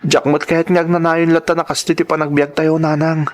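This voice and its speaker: Earnest, high-voiced humble young man played by an actor. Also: level tone, normal speed, lightly acted. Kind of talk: Ilocano radio-drama acting.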